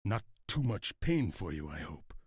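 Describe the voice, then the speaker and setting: A low, husky-voiced usurper in a game cutscene, ruthless and impatient. He is scornful and commanding, heard close and dry. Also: sing-song, unhurried, a little mellow, dry recording, exaggerated voice. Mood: sneering. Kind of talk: video game cutscene acting.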